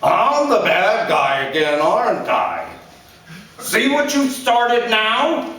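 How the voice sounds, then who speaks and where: A man's voice: high-pitched, expressive, takes it slowly, sharp tone, pitched above the performer's own voice, heavily acted. A man voicing an angry, belittling middle-aged drunk father, ranting and sarcastic, heard in an echoey room from far off.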